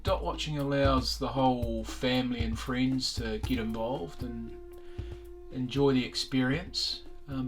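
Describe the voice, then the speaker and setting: A man who bikepacks, talking casually in an interview about following an event. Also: medium pitch. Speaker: bikepacker following friends in a race. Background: faint music.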